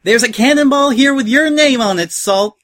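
Crazed female pony pirate for storybook male reader, very high-pitched, furious, bellowing swashbuckler bluster. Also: normal speed. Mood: gleeful fury. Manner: yelling, theatrical pirate bluster.

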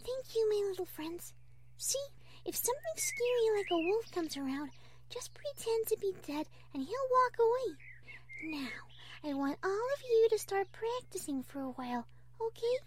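A woman voicing a timid, gentle young female pegasus pony in a cartoon fan story, with a soft, breathy, hesitant and sweetly polite delivery. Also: very high voice, very animated, moderate pace, voice pushed up. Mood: sweet, grateful.

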